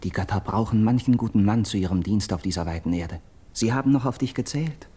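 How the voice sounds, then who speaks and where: An actor playing a steadfast, hopeful young friend, speaking classical verse drama in an earnest, elevated manner. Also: male voice, lowish voice, mellow, breathy edge. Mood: consoling. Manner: earnest, elevated, measured declamation. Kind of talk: classical German verse drama, stage declamation.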